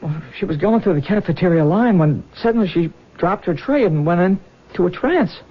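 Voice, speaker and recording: An actor playing a nervous, deferential young hospital intern, speaking hesitantly in a 1970s radio drama. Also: male voice, sits high, animated, moderate pace, breathy edge. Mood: uneasy, reporting. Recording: dull lo-fi vintage radio recording.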